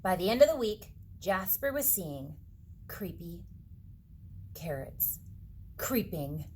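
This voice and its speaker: A woman reading a picture book aloud to children, dramatically, with hushed suspenseful moments and asides to her young listeners. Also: on the low side, big pitch swings, slow pace, a little hiss or hum. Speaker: read-aloud storyteller for children.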